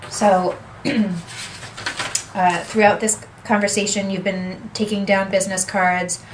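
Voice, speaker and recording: A woman's voice: medium pitch, level tone, slow, background noise. A young adult woman presenting a workshop in a small office conference room, explaining steadily and gesturing with her hands, picked up by a camera across the room. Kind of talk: seminar talk.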